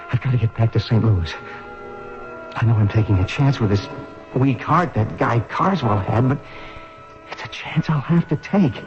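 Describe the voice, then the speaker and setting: An actor playing an agitated, desperate man in an urgent, halting and emphatic radio drama style. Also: mid-range voice. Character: agitated engineer, desperate man revived in another body. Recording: dull, band-limited vintage radio recording.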